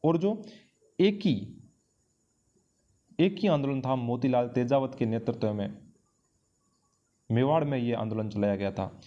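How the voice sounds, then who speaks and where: A man's voice: mid-range voice, unhurried, pauses often. A man teaching an exam-prep lesson, explaining and repeating key phrases for emphasis, recorded on a dull lo-fi microphone. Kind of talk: history lesson going through quiz questions.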